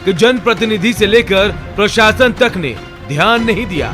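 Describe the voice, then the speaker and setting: A man's voice: high-pitched, lively intonation, moderate pace. A man reading a scripted television news report as a voice-over. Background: music.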